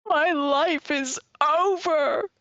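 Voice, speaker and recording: Girl doing a whiny heartbroken young dude from a video game, super dramatic, high voice, music behind. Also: extremely slow, a bit bright, lo-fi sound, exaggerated voice. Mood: wailing despair. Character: distraught, melodramatic young man, hapless friend of a lawyer.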